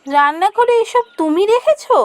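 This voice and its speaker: A woman voicing a practical, fretful village mother in a cartoon, with an exclamatory, expressive delivery. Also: very high voice, moderate pace, dry recording. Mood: startled. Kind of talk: Bengali fairy-tale cartoon dialogue.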